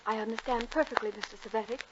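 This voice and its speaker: A woman's voice: on the high side, fairly even intonation, fast, natural voice. An actress playing a prim, earnest, starstruck young intellectual woman who speaks formally in a 1950s radio drama. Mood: prim, flustered eagerness. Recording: muffled 1950s radio recording, faint noise.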